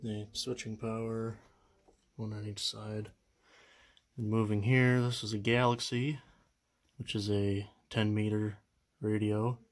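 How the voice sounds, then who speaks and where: A young man talks through his gear in a low, steady voice that barely rises or falls. He sounds casual and unsure, pausing often and trailing off with fillers as he shows his collection. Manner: unsure, hesitant, many fillers and trailing phrases. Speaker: vlogger showing his radio collection. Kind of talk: casual show-and-tell walkthrough.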